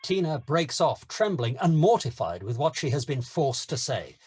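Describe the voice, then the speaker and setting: Lively, husky-voiced male narrator. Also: on the high side, normal speed. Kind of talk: scripted screenplay narration.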